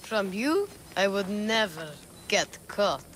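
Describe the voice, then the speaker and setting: An actress playing a cheeky, candid child in a video game cutscene, speaking in a youthful, matter-of-fact way. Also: female voice, medium pitch, very animated, slow, fairly bright tone, slight background noise. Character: cheeky, candid child searching for truth about father. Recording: faint music underneath.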